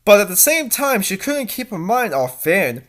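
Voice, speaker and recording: An animated host reads fan fiction aloud with open sarcasm. The voice is bright and sharp, swinging widely in pitch, exaggerating the delivery in mockery. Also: moderate pace. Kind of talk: mocking dramatic reading with commentary.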